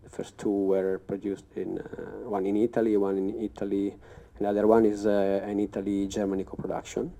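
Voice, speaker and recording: A man introducing himself as an actor, director and producer on a film festival panel, speaking slowly and hesitantly while searching for words. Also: fairly low pitch, little pitch movement, dark tone.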